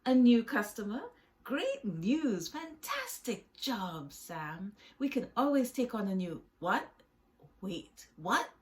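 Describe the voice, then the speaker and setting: A woman voicing an excitable, easily panicked male sea sponge in a children's story, exclaiming frantically and repeating himself. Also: mid-range voice, slow pace. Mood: delighted.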